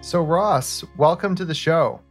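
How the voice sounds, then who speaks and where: A man's voice: on the high side, unhurried, soft background music. A man from Canada hosting a business podcast. He talks in an enthusiastic, conversational way. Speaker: podcast host. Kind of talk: podcast interview.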